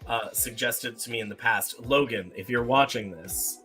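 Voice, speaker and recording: A man giving casual, opinionated film commentary, with emphatic and deliberate phrasing. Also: mid-range voice, sharp tone, faint music behind, rough recording. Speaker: panelist.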